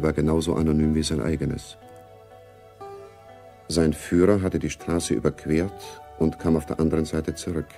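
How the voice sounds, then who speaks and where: A man narrating a scripted radio spy drama in a composed, gravelly voice. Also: rumbling low, warm tone. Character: composed male narrator of a Cold War spy story.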